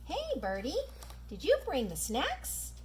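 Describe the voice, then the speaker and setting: A woman's voice: sits high, huge pitch swings, slow, slight background noise, full character voice. A woman voicing a friendly, eager cat in a children's puppet show, meowing as it talks. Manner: drops meows into sentences. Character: friendly, eager house cat who meows.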